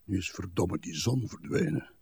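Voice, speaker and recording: Grumpy old husband, husky voice. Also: male voice, sits low, big pitch swings, normal speed, a little mellow, dry recording, heavily acted. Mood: sour. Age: older. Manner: irritable, complaining, pedantic. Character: grumpy old husband, pedantic, bitter and weary. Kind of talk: radio play acting.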